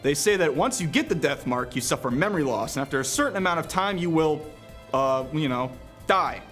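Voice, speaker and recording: Male reviewer, higher-pitched and very lively in intonation, playful scripted narration with casual asides, faint music underneath. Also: fairly quick. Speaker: video game reviewer.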